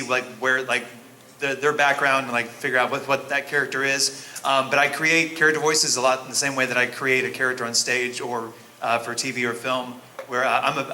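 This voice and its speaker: A man's voice: medium pitch, pushed and bright, slight background noise. A man voice actor explaining his process at a convention panel, thoughtful and full of fillers. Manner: thoughtful, hedging with many fillers. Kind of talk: casual convention Q&A answer.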